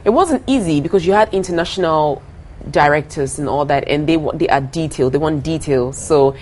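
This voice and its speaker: A woman's voice: low voice, fairly quick, darkish tone, slight background noise. A young woman from Ghana, a singer being interviewed, talking earnestly, often repeating her words and laughing easily. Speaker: singer guest. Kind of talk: casual interview talk.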